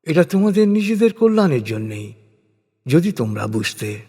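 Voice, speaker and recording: A man narrating translated scripture in a solemn, measured, declamatory scripted style. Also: on the high side, very animated, dark tone, husky. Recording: long reverberant hall-like echo.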